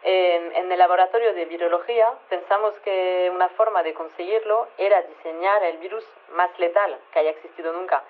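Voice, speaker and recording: Hesitant scientist on tinny phone line. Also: female voice, on the low side, fairly even intonation, moderate pace, hardly any pauses. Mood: explaining. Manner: hesitant, rambling, matter-of-fact. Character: flustered, matter-of-fact female head of virology lab. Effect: telephone filter. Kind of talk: conversational radio phone interview.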